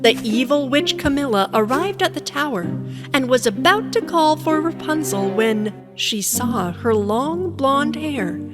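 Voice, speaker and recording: Casting: female fairy tale narrator, expressive, higher-pitched, very animated intonation. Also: unhurried, music in the background. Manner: expressive storytelling. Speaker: storyteller, narrator.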